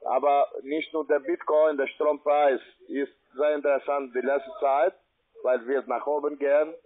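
A man with a foreign accent in German, giving an insistent, formal sales pitch as an account manager. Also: level tone, normal speed. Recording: tinny, narrow phone line.

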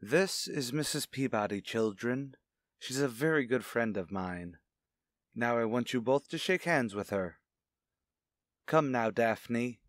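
An actor playing a stern, brooding widower in an old-time radio drama, speaking formally and deliberately. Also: male voice, mid-range voice, animated, dry recording, studio quality, lightly acted. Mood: insistent, stern. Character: stern, brooding widowed father with a morbid past.

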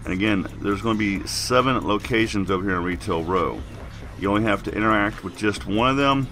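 A man's voice: lowish voice, fairly quick, fairly bright tone, faint music behind. A man giving casual, plain-spoken game walkthrough commentary as a video host while getting over a cold.

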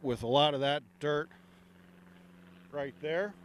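A middle-aged man giving a relaxed walking tour of an outdoor construction site, speaking into a handheld microphone with many ums. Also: a little high, slow, a little hiss or hum, low-quality recording.

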